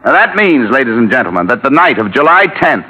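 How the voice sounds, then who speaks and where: An actor playing a tough investigator accused of murder in an old-time radio drama, speaking in a terse, direct, intense way. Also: male voice, mid-range voice, very animated, fast. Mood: determined. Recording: dull vintage radio recording.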